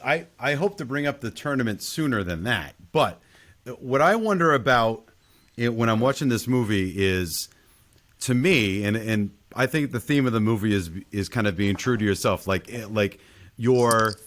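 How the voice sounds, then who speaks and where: A man's voice: medium pitch, animated, moderate pace, fairly bright tone. A man talking casually as a podcast host, discussing a film in conversation.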